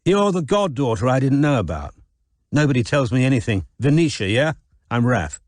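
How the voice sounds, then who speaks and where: A man's voice: medium pitch, sing-song, moderate pace, dry recording, studio quality, pitched above the performer's own voice, natural voice. A man doing a wry posh young Englishman. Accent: English public school.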